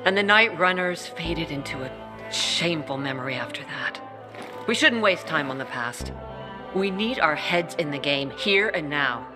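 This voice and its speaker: An actor playing a tough peacekeeper commander who speaks in a gruff, curt way. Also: medium pitch, animated, moderate pace, over music, natural voice.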